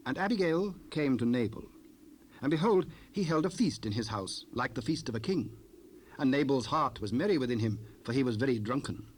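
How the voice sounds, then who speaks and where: A male narrator with a medium-pitched voice reads scripture as a formal story. His delivery is measured and scripted. It comes from a cassette rip with faint noise. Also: lively intonation. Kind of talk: scripted scripture narration, King James Bible.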